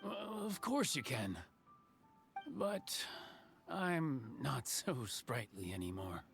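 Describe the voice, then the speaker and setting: A man voicing a sociable, weary elderly carpenter in a video game, with a creaky aged voice and a folksy, hesitant way of talking. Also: mid-range voice, very animated, takes it slowly, fairly bright tone, heavily acted. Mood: weary, grumbling. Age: older. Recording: studio voice over game music.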